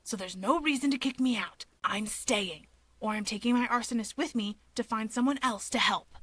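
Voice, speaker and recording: A woman voicing a defensive, awkward young male villain leader in an audiobook, speaking in a terse, breathy, insistent hiss. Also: fairly high pitch, normal speed, fairly bright tone, no echo. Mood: defiant, sulky.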